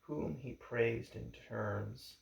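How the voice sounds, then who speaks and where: A man reading a history book aloud in a measured, formal way, with deliberate phrasing. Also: mid-range voice, creaky. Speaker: book reader. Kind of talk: audiobook-style reading of a history book introduction. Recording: echoey room.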